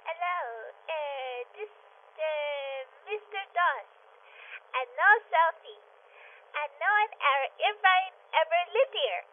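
A woman's voice: very high-pitched, slow. A woman playing a cranky, evasive character on a parody answering-machine greeting, curt and dismissive. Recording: tinny phone-like audio.

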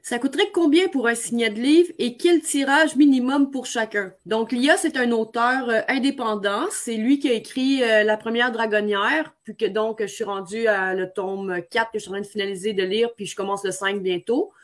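A woman with a Quebec French accent hosts an online chat in a fairly high voice. She puts her questions informally, wandering through them as she goes. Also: moderate pace, few pauses. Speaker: live show host, interviewer. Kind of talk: casual chat, interviewing. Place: indoor room, on a video call. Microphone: webcam video call.

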